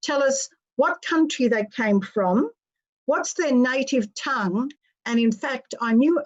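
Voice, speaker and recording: A woman's voice: fairly high pitch, a little mellow. A woman hosting a panel, courteously introducing each guest in turn. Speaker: panel host. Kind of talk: moderating a panel. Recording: dull lo-fi audio.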